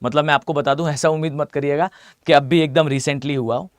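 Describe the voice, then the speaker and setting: A hoarse man with an Indian accent teaching a live online class, often asking students to reply. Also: on the high side, steady tone, fairly quick, no echo. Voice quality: mixes Hindi with English phrases. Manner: addresses students directly, asks them to reply.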